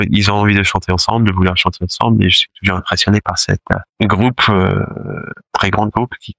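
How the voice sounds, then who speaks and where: Choir director guy, french is his second language, low gravelly voice, lots of ums and restarts. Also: fairly bright tone, no echo, rough recording. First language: not French; French is his second language. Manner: hesitant, many fillers and restarts, laughs often. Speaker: choir director, interview guest. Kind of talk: casual interview conversation.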